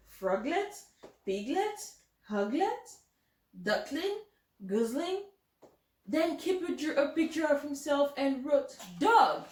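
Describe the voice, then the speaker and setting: High-pitched, expressive woman reading to children. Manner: expressive, addressing young listeners directly. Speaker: storytime host reading a picture book. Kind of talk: children's storybook read-aloud. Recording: roomy, echoing home recording.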